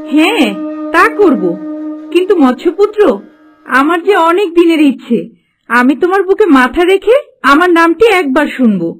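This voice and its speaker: A woman playing a devoted, cunning young golden fish wife in a Bengali fairy-tale cartoon, with a theatrical delivery. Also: extremely high pitch. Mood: worried, plotting. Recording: faint music behind the voice.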